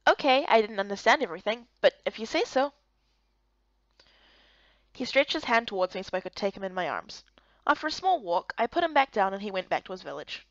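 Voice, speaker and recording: A woman giving an expressive voice-over reading of a visual novel story in character. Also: mid-range voice, brisk, no echo, dull audio.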